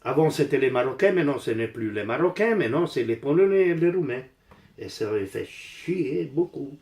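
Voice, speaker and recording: A man's voice: mid-range voice, expressive, moderate pace, a little mellow. An older man from Italy speaking French with an Italian accent, rambling casually and bluntly while doing repairs, repeating phrases for emphasis. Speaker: amateur DIY vlogger. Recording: roomy echo.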